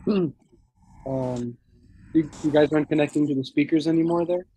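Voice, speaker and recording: A man leading a scripture class over a video call, reading translations aloud and often clearing his throat, with a low voice. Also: brisk, mellow, a little hiss or hum. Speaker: class speaker. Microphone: computer mic on a video call.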